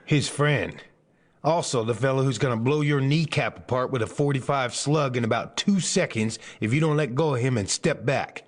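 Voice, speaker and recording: An actor playing a calm, level-headed Texan gunslinger, dry and understated, in a dramatized Western audiobook. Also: male voice, mid-range voice, normal speed, no echo, lightly acted. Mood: steely calm.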